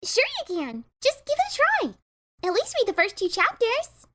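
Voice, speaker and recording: An excitable young female cartoon pony, gushing and hyperactive. The voice is extremely high and leaps around in pitch. There is some noise in the recording. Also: normal speed, full character voice. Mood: eager. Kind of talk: dramatic reading of a cartoon fan story.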